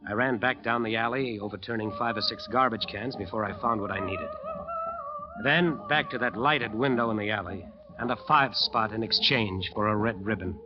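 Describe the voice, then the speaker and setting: A man's voice: lowish voice, natural voice. An actor playing a driven, ambitious musician who narrates his nightmare dramatically in an old radio drama. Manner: dramatic, intense storytelling. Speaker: narrator and lead character. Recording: dull old radio recording, music behind.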